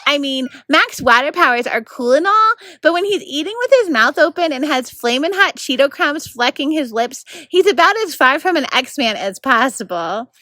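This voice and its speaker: Female storybook reader, bright and animated, very varied pitch. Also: normal speed, hardly any pauses, dry recording. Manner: animated, acts out the narration. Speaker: volunteer reader of a children's novel. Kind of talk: expressive storybook read-aloud.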